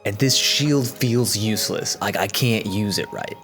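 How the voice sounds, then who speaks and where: A man voicing an insecure, earnest young male soldier who talks in halting, self-doubting rambles. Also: on the low side, a bit bright, soft background music. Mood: dejected. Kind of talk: in-character tabletop roleplay.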